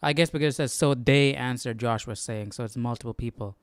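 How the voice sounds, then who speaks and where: A man's voice: medium pitch, a little fast, clear, resonant tone, no room sound, clean studio sound. A man hosting a casual live Bible study, musing aloud and often checking with a questioning tag. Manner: musing, tags sentences with "right?". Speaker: host.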